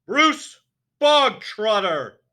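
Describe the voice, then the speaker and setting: A man voicing a bellowing, tyrannical headmistress who booms insults, in a storybook reading. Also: sing-song, extremely slow, heavily acted. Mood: furious. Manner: booming, shouting insults.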